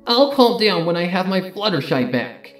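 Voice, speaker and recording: A man's voice: high-pitched, very animated, normal speed, a bit bright, roomy sound, voice pushed up. A man voicing a brash, desperate young female pegasus pony from a story, impassioned and insistent. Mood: frantic, angry. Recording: music behind the voice.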